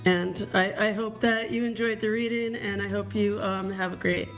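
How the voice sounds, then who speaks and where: A woman's voice: medium pitch, fairly even intonation, moderate pace, soft background music. Hesitant woman giving a psychic reading. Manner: soft, hesitant, many ums. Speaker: psychic reader.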